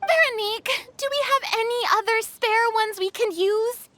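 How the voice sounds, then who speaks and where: A woman playing an excitable, bossy young female film director in an anime video game. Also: very high voice, moderate pace, slight background noise. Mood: commanding.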